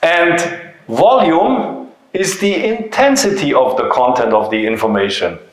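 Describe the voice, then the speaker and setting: A man's voice: on the high side, a little slow. A man with a German accent, a native German speaker, presenting informally to a seminar audience in a didactic way, with short emphatic phrases and rhetorical questions. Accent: German-speaking European. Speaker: seminar presenter, company founder. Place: indoor seminar room. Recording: very echoey, reverberant room.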